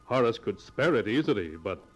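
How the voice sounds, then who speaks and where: Low-voiced radio mystery narrator. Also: male voice, big pitch swings, lo-fi sound. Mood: grave, dramatic. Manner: dramatic, deliberate. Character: ominous storyteller of a radio mystery. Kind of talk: scripted 1940s radio narration. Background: music.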